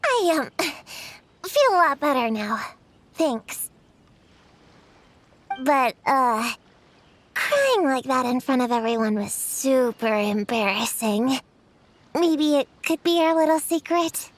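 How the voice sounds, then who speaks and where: A woman's voice: high-pitched, big pitch swings, slow pace, long pauses, heavily acted. A woman voicing a proud, easily embarrassed young girl witch hunter in an anime-style video game, earnest and defensive but reluctantly sweet. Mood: sheepish.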